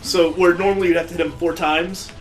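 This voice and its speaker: A man's voice: on the high side, level tone, fast talker, low-quality recording. A man hosting a let's play, giving casual, sarcastic commentary as he plays a video game. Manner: wry, sarcastic joking. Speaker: gaming video host playing the game. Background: video game music.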